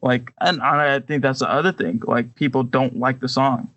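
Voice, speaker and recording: A man co-hosting a podcast, chatting casually about his opinions in a halting, stammering way. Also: medium pitch, fairly even intonation, a bit bright, no echo, lo-fi sound. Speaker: podcast co-host.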